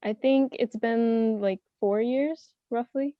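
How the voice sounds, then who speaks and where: A young woman answering questions as a panelist in a casual online round-table, soft-spoken and modest. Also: a little high, takes it slowly, no echo, phone-quality sound. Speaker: panelist, self-taught language learner.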